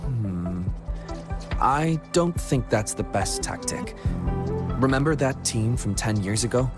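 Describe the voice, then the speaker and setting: Casting: reasonable game teammate, medium pitch, explanatory, music underneath. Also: male voice, lively intonation, slow. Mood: thoughtful. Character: thoughtful male relay teammate, reasonable and explanatory. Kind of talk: video game voice acting.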